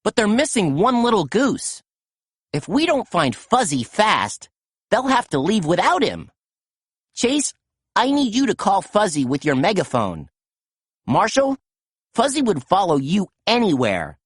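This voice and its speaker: A woman voicing a confident young boy who leads a team of rescue pups, calm and encouraging as he gives orders. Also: mid-range voice, a little slow, husky, dry recording, clean studio sound, voice pushed up.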